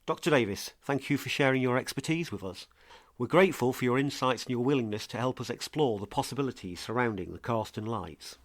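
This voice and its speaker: A man presenting a scripted true crime mystery podcast as an earnest investigator, with a measured, portentous delivery. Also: medium pitch, lively intonation, no room sound, natural voice. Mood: earnest, curious.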